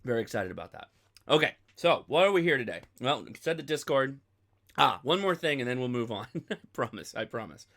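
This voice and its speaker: A man's voice: mid-range voice, very animated, a little fast, fairly bright tone. An American man hosting a ham radio livestream in a casual, chatty way that rambles and digresses. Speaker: host of a ham radio live stream.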